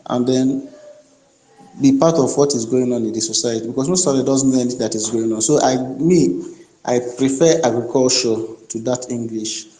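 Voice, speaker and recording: Mellow Nigerian man, echoey room. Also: mid-range voice. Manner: halting, searching for words. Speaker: contributor in a group discussion. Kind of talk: informal opinion-giving. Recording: echoey room on online call.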